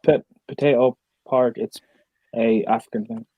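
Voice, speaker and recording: A man's voice: mid-range voice, fairly even intonation, normal speed, slightly rough, no echo, narrow, tinny audio. A man on a fan call, teasing and arguing in casual banter, often repeating himself. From Nigeria. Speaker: football fan guest on a call.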